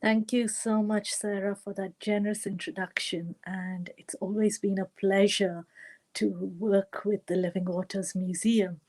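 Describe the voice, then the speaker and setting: A woman from western India with an Indian accent, a storyteller introducing a tale online in measured phrases with long pauses. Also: mid-range voice, little pitch movement, darkish tone.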